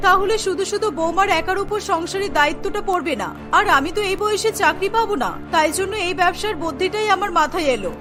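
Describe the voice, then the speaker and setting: A woman's voice: extremely high pitch, fast, hardly any pauses. A woman voicing a caring, firm older mother-in-law in a cartoon, earnest and admonishing, practical about money. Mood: firm, reassuring. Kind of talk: Bengali cartoon dialogue. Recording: music behind the voice. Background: music.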